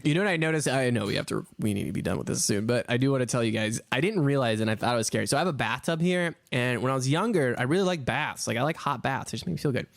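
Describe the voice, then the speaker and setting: Gravelly man rambling casually. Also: mid-range voice, animated, racing, hardly any pauses, no echo. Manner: rambling storyteller, heavy use of "like". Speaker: podcast co-host. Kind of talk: casual chat.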